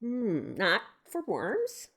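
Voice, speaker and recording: A woman's voice: mid-range voice, huge pitch swings, extremely slow, fairly bright tone, breathy edge, full character voice. A woman playing a dotty remedy seller in a comic audio sketch, musing with exaggerated puzzlement. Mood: amused, baffled.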